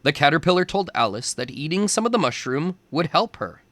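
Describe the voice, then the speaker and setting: A man reads a storybook aloud for young children in a slightly high voice with lively, swooping intonation. He takes his time with each phrase, telling the tale expressively. The sound is close and dry. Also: brisk, no room sound.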